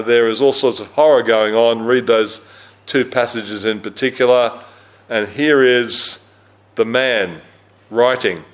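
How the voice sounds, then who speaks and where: Man, low register, mid pitch; conversational Bible teacher at a church camp, loose and unscripted, with fillers. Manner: conversational, with frequent fillers and self-corrections. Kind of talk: teaching a Bible study talk.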